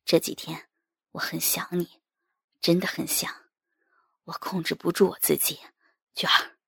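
A brooding, yearning husband in an audio story , played by a woman. The voice is lowish, husky and creaky, hushed and wistful, with a slow, gentle delivery. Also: little pitch movement, dry recording, whispered, clean studio sound, full character voice.

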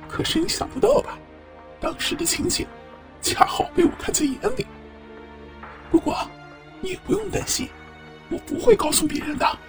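A man's voice: extremely high pitch, normal speed, pauses often, distant mic, soft background music. A man playing a sly, mocking blackmailer who disguises his voice with a strained, squeezed delivery in an audio drama. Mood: mocking, menacing.

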